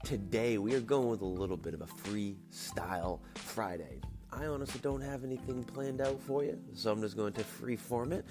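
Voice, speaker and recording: A man with a slightly low voice, nasal and stuffy from blocked sinuses, hosting a casual motivational daily show. He talks slowly and enunciates carefully. Music plays clearly behind him.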